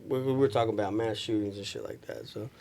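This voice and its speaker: A man's voice: lowish voice, fairly even intonation, fast, darkish tone, faint music behind. A man chatting casually and slangily, often dropping in "like" and "you know". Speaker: podcast guest, recording studio engineer. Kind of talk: casual chat. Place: small room with couches, indoors.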